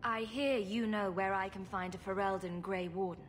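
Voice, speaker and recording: An actress playing a confident, dry-witted adventurer heroine in a fantasy video game. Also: female voice, mid-range voice, steady tone, moderate pace, slight background noise, natural voice. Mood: direct. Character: cool, self-assured adventurer heroine, dry wit. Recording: game music behind the voice.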